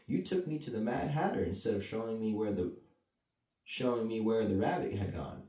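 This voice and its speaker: A man's voice: fairly low pitch, animated, brisk, mellow, close to an everyday voice. A man voicing a polite, earnest, easily vexed young girl in a children's story. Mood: cross. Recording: roomy echo, distant mic.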